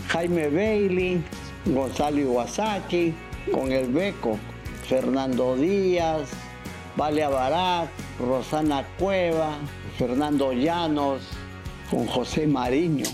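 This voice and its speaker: A man's voice: a little high, slow. A man in his sixties with a Peruvian accent gives personal testimony, telling his story plainly in short phrases. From Peru. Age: 60s. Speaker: interviewee, veteran TV news cameraman. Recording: music bed behind the voice.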